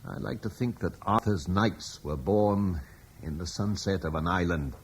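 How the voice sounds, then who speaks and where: A man's voice: low-pitched, a little slow, a little hiss or hum. An eloquent older Roman-born father. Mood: reflective. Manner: reflective and persuasive, eloquent. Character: thoughtful older Romano-British father, idealistic. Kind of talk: radio drama acting.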